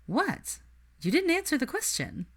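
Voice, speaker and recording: A woman's voice: high voice, sing-song, measured pace, pitched above the performer's own voice. A woman voicing an earnest, thoughtful young woman in a story, reasoning and questioning persuasively. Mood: gently insistent.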